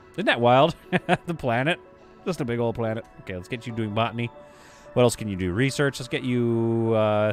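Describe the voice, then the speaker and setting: A man streaming a game live, chatting animatedly with his viewers and laughing often. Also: medium pitch. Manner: animated, chatty, quick to laugh, reads chat aloud.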